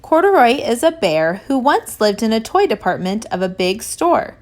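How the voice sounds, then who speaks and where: A woman narrating a children's picture book in an expressive read-aloud storytelling style. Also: on the high side, moderate pace. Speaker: storybook reader.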